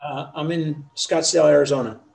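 A man's voice: a little high, steady tone, fairly quick, gravelly, a little mellow, roomy sound. A middle-aged man from the United States, chatting easily as a podcast guest. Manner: easygoing, chatty storytelling. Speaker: interview guest. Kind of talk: casual chat.

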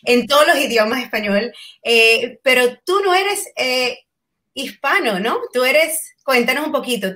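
Slightly high-voiced woman hosting an interview. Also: lively intonation, a little slow, sharp tone. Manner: friendly, hesitant with many fillers. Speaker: podcast host.

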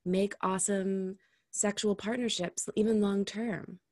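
A woman's voice: medium pitch, steady tone, a little slow, a little mellow, no echo, clean studio sound. A woman speaking as an intimacy coach guest in a casual podcast conversation, candid and reflective, swearing now and then.

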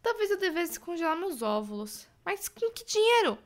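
A woman's voice: extremely high pitch, big pitch swings, moderate pace. An actress playing an anxious young single woman with a Brazilian accent, rambling through catastrophic self-questioning in an audio drama. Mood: anxious brooding. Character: anxious, spiralling young single woman doubting her life choices.

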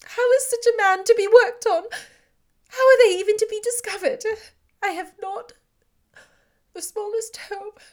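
A woman's voice: very high-pitched, fairly even intonation, racing, pauses often, a bit bright, pitched above the performer's own voice. A woman reading the part of a distraught young woman in an audiobook, agitated, pleading and close to tears. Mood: agitated, despairing.

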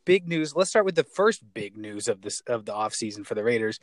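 A man hosts a loose, joking podcast chat. He teases his guest and keeps things moving. His voice sits at an ordinary pitch, recorded close and dry. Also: sing-song, a little fast, no echo. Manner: playful, wisecracking. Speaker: podcast host. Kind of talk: casual joking interview.

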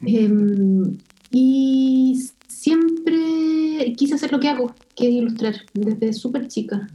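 A woman from Chile with a Chilean Spanish accent, an illustrator speaking thoughtfully as an interview guest and pausing often with fillers. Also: sits high, animated, mellow, soft background music, poor audio. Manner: thoughtful, hesitant with many fillers. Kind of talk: casual interview conversation.

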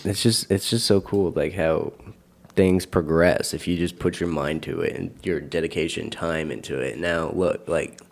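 A young man co-hosting a podcast, asking quick questions in an enthusiastic way. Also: low voice, steady tone, vocal fry. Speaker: podcast co-host.

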